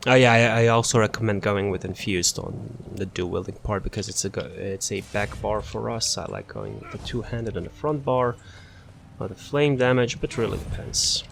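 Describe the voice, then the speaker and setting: A man casually walking through a game character build, halting often with fillers and restarts. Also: fairly low pitch, animated, vocal fry, fairly bright tone, a little hiss or hum. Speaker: gaming channel host.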